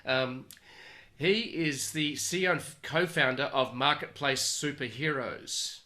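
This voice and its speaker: An Australian man hosting a conversational interview show, speaking in a halting, rambling way. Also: medium pitch, bright, forceful tone. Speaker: podcast host.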